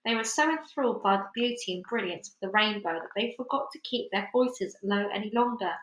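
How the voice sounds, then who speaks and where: A woman reading a children's storybook aloud in an expressive, dramatic way. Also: medium pitch, a little fast, a little hiss or hum. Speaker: book reader. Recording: echoey room.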